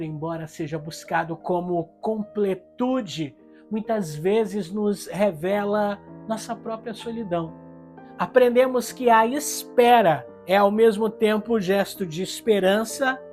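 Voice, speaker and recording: A woman's voice: medium pitch, darkish tone. A Brazilian woman reading a scripted literary review in a solemn, reflective and rhetorical manner. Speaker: book reviewer. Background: guitar music.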